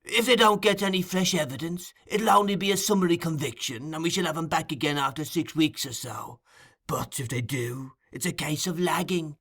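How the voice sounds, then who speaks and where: A man's voice: on the high side, normal speed, a bit bright, pitched above the performer's own voice. A man doing a wheedling old thief-master. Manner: wheedling, fond of calling people my dear.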